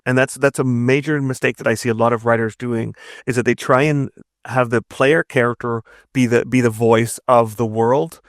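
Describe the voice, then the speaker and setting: A man's voice: mid-range voice, fairly even intonation, moderate pace, no room sound, clean studio sound. A man giving conversational advice as an interview guest, teaching emphatically, repeating words and often checking with "right?". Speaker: narrative design instructor, podcast guest.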